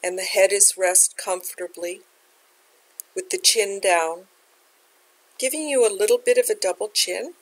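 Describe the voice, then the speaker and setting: A woman leading a guided meditation as a teacher, speaking in a gentle, unhurried, measured way. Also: medium pitch, poor audio.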